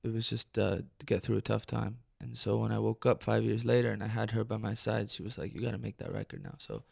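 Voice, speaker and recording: A man from Detroit, a musician guest on live radio, talking in a reflective, halting way with many restarts. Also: lowish voice, vocal fry, darkish tone, breathy edge. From Detroit, United States. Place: radio studio.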